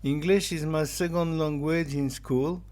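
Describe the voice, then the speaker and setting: A man in his fifties from France with a French accent, whose first language is German, reading a personal pitch about his life as a digital artist in slow, simple sentences of his second language. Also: fairly even intonation, a little mellow. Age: 50s. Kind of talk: personal pitch, read aloud.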